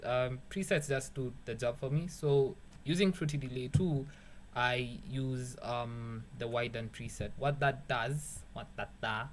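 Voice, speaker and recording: A man's voice: mid-range voice, a little slow. A young man with a Kenyan accent, a music producer giving a casual, rambling beat-making tutorial, joking and swearing, dropping Swahili phrases. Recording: faint background noise.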